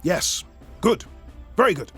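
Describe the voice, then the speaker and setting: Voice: mid-range voice, animated, slow, bright, forceful tone, faint music behind. A performer playing a vain, pompous male Emperor in a satirical comic audio play, dithering, blustering and easily flustered. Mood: imperious.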